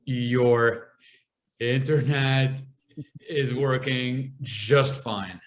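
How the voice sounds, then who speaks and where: A young man from Lebanon talks as a podcast guest, and his speech is marked by a stutter. He moves very slowly, stopping often and repeating sounds before words come out. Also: mid-range voice, steady tone. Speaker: guest speaker and comedian. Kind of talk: podcast interview conversation.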